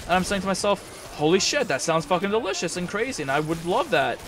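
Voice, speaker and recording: A man's voice: sits high, sing-song, fast, a bit bright. A young man casually commentating a shooter game at home, rambling and swearing as he chats with his viewers over a desk mic. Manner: rambling, profane, chatty with viewers. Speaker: gaming commentator. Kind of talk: casual let's-play commentary. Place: small room at home.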